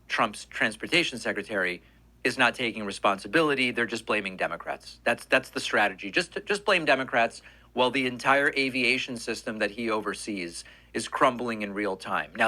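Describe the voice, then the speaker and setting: A man's voice: mid-range voice, sharp tone. A man giving conversational political news commentary, emphatically repeating words for stress.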